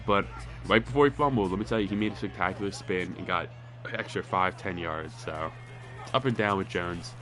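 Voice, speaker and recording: A man with a congested, nasal voice giving casual play-by-play commentary on a football video game, rambling and getting louder on big plays. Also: sits low, a little fast, faint music behind. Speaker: gaming commentator.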